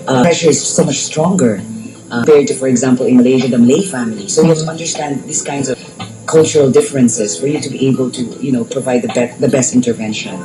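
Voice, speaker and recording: A woman's voice: very deep voice, low-quality recording. A woman from the Philippines, a life coach and therapist answering radio interview questions, explaining at length with fillers and bits of Tagalog. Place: radio studio. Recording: music playing underneath.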